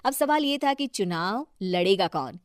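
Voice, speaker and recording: A woman's voice: medium pitch, expressive, unhurried, dry recording. Dry-sounding woman narrating a story. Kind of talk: scripted moral story narration.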